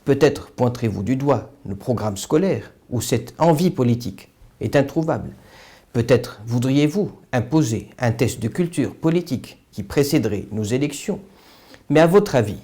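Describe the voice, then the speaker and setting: A man's voice: medium pitch, warm tone. A man delivering a scripted political opinion monologue in a formal, literary, rhetorical manner. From Morocco. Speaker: political commentator, video host.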